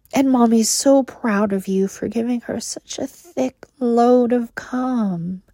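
A woman voicing a doting, protective motherly lover, murmuring close to the mic in a dark, mellow voice. Her soft whispers come out husky and edged with fry, and she lingers on every word at an unhurried crawl. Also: a little high, dry recording, exaggerated voice. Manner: soft, cooing, often whispered. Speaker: audio roleplay performer. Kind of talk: intimate whispered audio roleplay.

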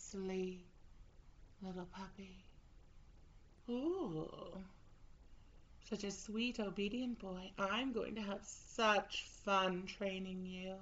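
Slow, teasing woman, muffled audio. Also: medium pitch. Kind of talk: scripted audio roleplay.

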